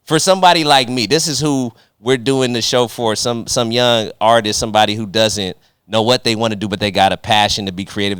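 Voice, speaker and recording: A man with a low, smooth voice hosts a casual podcast interview in a close, dry sound. He talks in a slangy, conversational way, rephrasing his questions as he goes. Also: a bit bright, no echo. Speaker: podcast host. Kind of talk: casual interview with joking asides.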